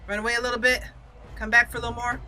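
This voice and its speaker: A woman hosting a roleplay game commentary, excitable and exclaiming, reading some lines in character. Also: on the high side, racing. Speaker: gaming channel host.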